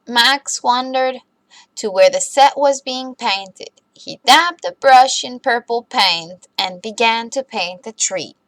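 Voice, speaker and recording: A woman reading a children's bedtime story aloud in an expressive, deliberate way for young listeners. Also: fairly high pitch, no echo.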